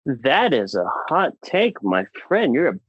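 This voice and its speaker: A man's voice: medium pitch, wildly swooping pitch, unhurried, muffled recording, slight background noise. A man hosting a casual podcast, bantering with playful, mock-outraged showmanship. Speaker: podcast host.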